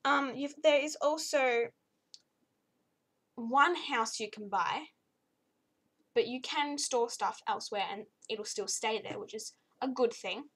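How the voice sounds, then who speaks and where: A young woman reviews a video game in a loose, chatty way, her fairly high voice a little croaky today. She gets easily exasperated and fills her talk with ums and restarts. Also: slow, pauses often, fairly bright tone. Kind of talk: casual spoken game review.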